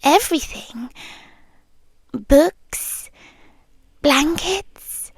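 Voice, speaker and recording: A woman with a British accent playing a possessive, devoted tailed creature girlfriend in a soft, breathy, often whispered ASMR roleplay. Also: high voice, expressive, drawn-out words, no room sound, studio quality, exaggerated voice. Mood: confessional, fond. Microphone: close, dry ASMR microphone.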